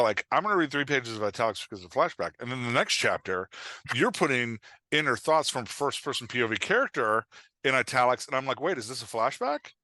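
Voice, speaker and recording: Bright-voiced man joking through casual podcast advice. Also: mid-range voice, dry recording. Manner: emphatic, joking, acts out imagined exchanges. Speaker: guest expert on writing fiction dialogue. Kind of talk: animated casual podcast chat.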